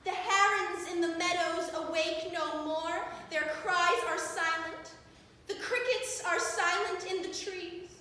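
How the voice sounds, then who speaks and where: A woman on stage playing a mournful universal soul in a declamatory, drawn-out theatrical monologue. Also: very high-pitched, bright, forceful tone, full character voice. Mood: solemn, mournful. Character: young actress solemnly playing the lone universal soul. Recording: echoey reverberant theatre, faint hiss.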